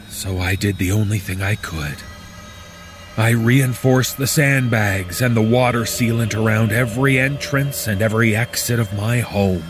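Man acting a slow, brooding horror-story survivor. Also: lowish voice, background noise, lightly acted. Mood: haunted, grim. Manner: deliberate, brooding, with dramatic pauses. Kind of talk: dramatic first-person horror story reading.

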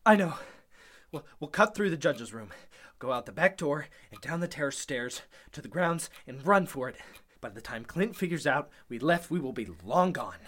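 A man's voice: on the high side, expressive, normal speed, a bit bright. Man acting urgent, scheming man with a swooping voice. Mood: urgent, shaken. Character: scheming, injured man feigning urgent, protective concern. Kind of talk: Golden Age style radio play acting.

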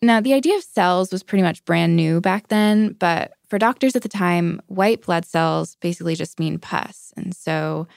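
Female reporter, lowish pitch with vocal fry, conversational narration. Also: moderate pace, hardly any pauses, no echo, clean studio sound.

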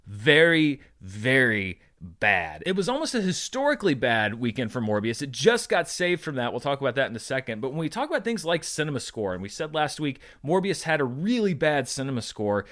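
Male show host, slightly high voice, highly varied intonation, enthusiastic and conversational solo commentary with emphatic stresses. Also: sing-song, fast talker, few pauses. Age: 30s to 40s. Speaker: host of a box office recap show.

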